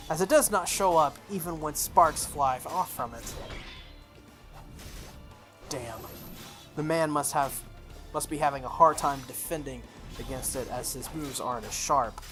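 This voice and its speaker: A playthrough host reading visual novel text aloud, with enthusiastic, gushing asides. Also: mid-range voice. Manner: enthusiastic, gushing asides between read narration. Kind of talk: reading game text aloud with excited commentary. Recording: background game music. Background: game music.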